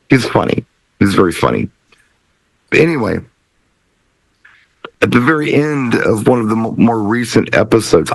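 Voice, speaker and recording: Middle aged guy hosting a chat show, lowish voice thats all over the place, dry close sound, rambly. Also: no room sound, low-quality recording. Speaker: talk show host. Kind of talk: casual chat.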